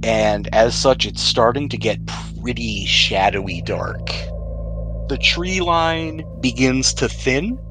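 Man, game master, playful scene narration, mid pitch, background music. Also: animated, poor audio. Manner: playful, describes scenes slowly. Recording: music bed under the voice.

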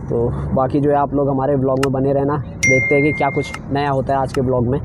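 A man's voice: medium pitch, completely flat delivery, a little fast, very noisy. A young man chatting casually to his viewers while filming a road trip vlog on a phone held at arm's length, feeling a little queasy. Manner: chatty, addresses viewers as friends. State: queasy from travel sickness. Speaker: vlogger. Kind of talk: casual travel vlog narration. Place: in a car on mountain roads, then outdoors at a busy temple.

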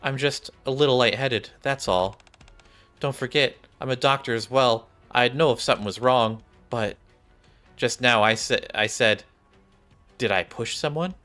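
A man's voice: mid-range voice, a little slow, fairly bright tone. A young adult man, a game streamer, reading visual novel dialogue aloud. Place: small indoor room. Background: game music.

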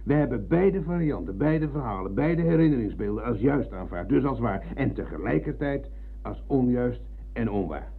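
A man's voice: mid-range voice, expressive, moderate pace, gravelly, breathy edge. A man playing a pedantic, philosophising man of the house in a radio drama, with a wordy, declamatory manner. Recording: muffled phone-like old radio, humming noise.

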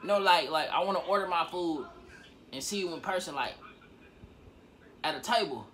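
A man's voice: fairly high pitch, moderate pace, a bit bright, a little hiss or hum, poor audio. A young man making casual prank calls, playfully repeating his phrases. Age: young adult. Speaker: prank caller. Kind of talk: casual prank-call banter. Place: small bedroom, indoors. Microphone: camera a short distance away.

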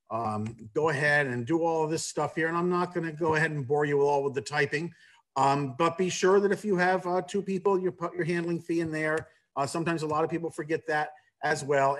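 A man's voice: fairly high pitch, fairly bright tone. A man giving an informal online presentation on a video call, hesitant, with frequent ums and restarts. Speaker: theater staffer presenting subscription details.

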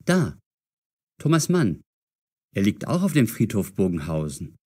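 A man playing an eager, puzzle-solving young amateur sleuth in an audio drama for language learners, speaking expressively and clearly. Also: fairly low pitch, sing-song, unhurried, darkish tone, full character voice. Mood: excited.

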